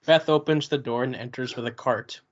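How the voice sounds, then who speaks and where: A man's voice: medium pitch, fairly even intonation, moderate pace. Man narrating stage directions, slightly dull sound. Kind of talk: scripted narration.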